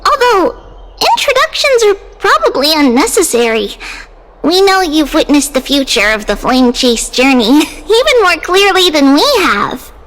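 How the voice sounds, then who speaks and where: A woman voicing a sweet, reassuring little girl oracle in a video game, polite and gentle. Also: high voice, sing-song, unhurried, heavily acted, low-quality recording. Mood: polite, welcoming. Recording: game music under voice.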